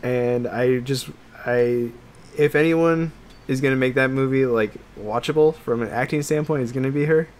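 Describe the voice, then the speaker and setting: A man's voice: medium pitch, normal speed, darkish tone. A man co-hosting a casual podcast chat, rambling and hedging, with "like" peppered through his sentences. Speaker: podcast co-host. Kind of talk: casual chat about games and films.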